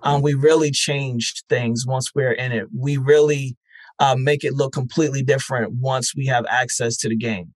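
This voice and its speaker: A man's voice: mid-range voice, fairly even intonation, normal speed, no room sound. A man speaking as a guest, a festival founder and life coach, earnest and encouraging, with frequent ums. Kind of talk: casual interview chat.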